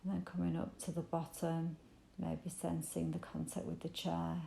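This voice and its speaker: A woman leading a guided meditation in a gentle, soothing, invitational manner with unhurried pauses. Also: lowish voice, level tone, creaky, echoey room, slight background noise. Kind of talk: guided body scan meditation.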